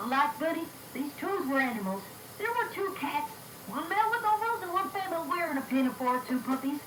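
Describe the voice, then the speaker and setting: A high-pitched cartoon pony played by a woman narrates a scripted story. The sound is roomy and clearly noisy, like a homemade reel-to-reel tape. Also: big pitch swings, brisk. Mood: storytelling. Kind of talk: scripted fan-fiction narration.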